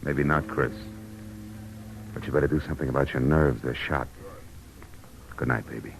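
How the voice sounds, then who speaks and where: Very deep-voiced hard-boiled private eye. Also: male voice, normal speed, vocal fry, heavy background noise. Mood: cool, needling. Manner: hard-boiled, clipped, slangy. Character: tough, wry male private detective narrating his own case. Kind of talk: 1940s radio noir acting and narration. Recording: old radio recording with music behind.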